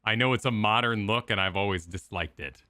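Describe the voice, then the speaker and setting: Male gaming co-host, sharp-edged low voice, dry close sound, measured and opinionated in casual discussion. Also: no room sound. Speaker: co-host of a gaming reaction stream.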